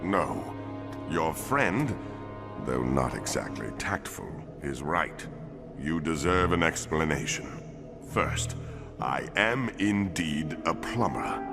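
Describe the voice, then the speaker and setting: Super deep four-armed alien cop, polite n formal, game cutscene w music behind. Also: male voice, sing-song, gravelly, full character voice. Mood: courteous, solemn. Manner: formal, measured, deliberate wording. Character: courteous, solemn four-armed male alien lawman, big and older. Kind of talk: animated game cutscene acting.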